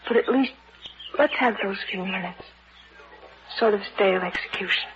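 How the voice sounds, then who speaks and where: An actress playing an anxious, devoted young bride with an American accent, pleading earnestly in a 1940s radio thriller. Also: female voice, medium pitch, moderate pace, lightly acted. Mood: tender, anxious. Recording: muffled, noisy old radio drama recording.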